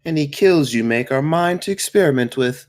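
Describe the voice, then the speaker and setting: A man at a table read playing a female undead necromancer who is refined, unhurried, archly polite and casually menacing. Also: fairly high pitch, lively intonation, a little mellow, natural voice. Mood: cool, assured.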